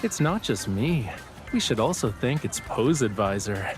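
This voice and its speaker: Romantic game lead: playful young man, very animated intonation, affectionate and a little smug, music underneath. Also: mid-range voice, unhurried. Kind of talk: romantic game audio drama acting.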